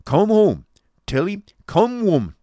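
A man's voice: high-pitched, huge pitch swings, takes it slowly, dark tone, no echo, studio quality, pitched above the performer's own voice, full character voice. A man voicing a huge, angry young Yorkshireman with a blunt, threatening manner and a Yorkshire accent in a novel reading. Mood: furious, stern. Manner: blustering, emphatic, threatening.

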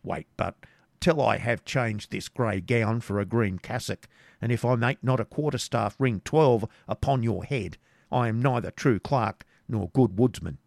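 A man's voice: medium pitch, measured pace, creaky, darkish tone, no room sound. A man voicing a boisterous, boastful hermit friar who drinks hard and blusters in old-fashioned English, in a storybook reading. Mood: blustering, threatening.

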